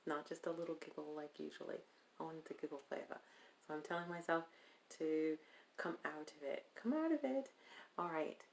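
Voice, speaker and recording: A woman from California vlogging casually while speaking in a deliberately put-on accent, rambling and hesitant with many pauses. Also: sits low, monotone, gravelly, slight background noise. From Stockton, California. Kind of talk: casual video chat.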